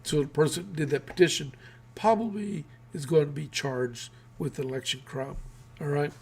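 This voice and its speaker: A man's voice: fairly high pitch, normal speed, vocal fry, darkish tone, breathy edge. A man from Texas hosting his own political news show, talking casually with many fillers and restarts while losing his voice.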